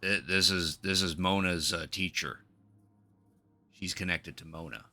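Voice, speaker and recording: A man's voice: sits low, steady tone, measured pace, pushed and bright. A man giving casual live commentary as he streams a video game. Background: game music.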